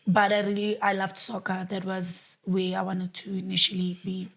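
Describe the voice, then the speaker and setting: Young South African woman, mid-pitched, relaxed and easygoing. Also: moderate pace, vocal fry. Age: young adult. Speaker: netball player. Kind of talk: casual interview answers. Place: studio.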